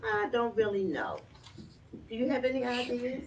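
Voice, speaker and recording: A woman's voice: on the high side, big pitch swings, normal speed. A woman voicing eager young children in a storybook, with simple childlike enthusiasm. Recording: dull, distant, noisy room recording.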